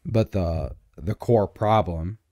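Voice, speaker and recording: Young man, deep boomy voice, easygoing. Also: slow, dry recording, studio quality. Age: young adult. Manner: relaxed, rambling with many fillers. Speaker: podcast co-host. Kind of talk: casual chat. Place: small home studio room. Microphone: studio mic on boom arm.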